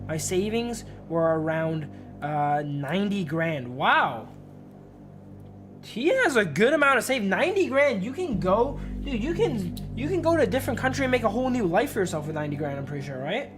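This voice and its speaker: A man's voice: sits high, sing-song, moderate pace. A man doing casual game commentary as a streamer, reading story text aloud and chatting in loose bro-and-dude slang. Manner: slangy, informal, often addressing listeners as bro and dude. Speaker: live streamer. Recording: music playing behind the voice. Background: music.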